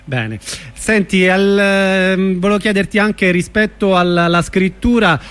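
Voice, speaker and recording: A man hosting an informal radio interview, putting his questions in a halting, spontaneous way. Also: sits high, fairly bright tone, clean tone.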